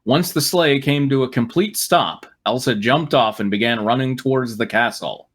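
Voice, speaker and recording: A man's voice: mid-range voice, moderate pace, husky. A man reading fan fiction aloud as host, narrating dramatically with wry, amused asides. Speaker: reader and host.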